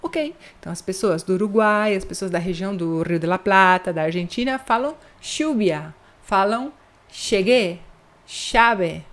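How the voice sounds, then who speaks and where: Chatty Brazilian woman teaching Spanish. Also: medium pitch. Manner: chatty, checks in with "tá bom?" after points; slows down to spell out example words. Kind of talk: online language lesson.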